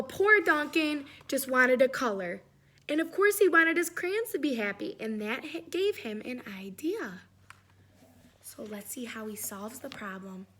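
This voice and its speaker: Woman picture-book reader, fairly high voice, chatty and encouraging, checks in with young listeners. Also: pushed and bright, a little hiss or hum. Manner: encouraging, often checks in with "right?". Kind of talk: read-aloud with chatty questions to children.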